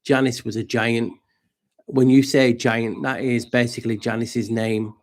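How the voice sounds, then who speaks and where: Flat-toned man explaining casually. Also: mid-range voice, a little slow.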